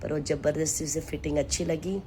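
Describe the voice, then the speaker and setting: A hoarse woman with a sore throat, chatting to her followers from home in a weary, sighing way. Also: low-pitched, steady tone, mellow, slight background noise. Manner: slow, weary, sighing between lines. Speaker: vlogger and home tailor. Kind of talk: casual chat to camera. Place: at home, taking steam.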